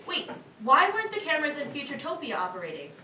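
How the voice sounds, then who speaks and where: A woman's voice: sits high, huge pitch swings, fast, sharp tone, heavily acted. A woman playing an exasperated, responsible boss in a staged reading of a cartoon script. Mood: scolding. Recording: distant echoey room, faint noise.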